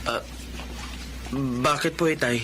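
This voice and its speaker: A man's voice: fairly high pitch, slow, pushed and bright, slight background noise. A man with a Filipino accent playing an earnest young son and aspiring policeman in an emotional radio drama. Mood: guarded. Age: young adult. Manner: emotional, emphatic.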